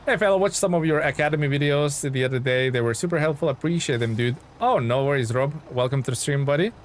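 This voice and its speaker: A man's voice: on the high side, quick pace. A man from Lithuania, chatting in a friendly, informal way as he thinks aloud on a gaming livestream. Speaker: video game livestreamer. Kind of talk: casual gaming stream chat and commentary. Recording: clear vehicle and engine noise behind. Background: truck game sounds.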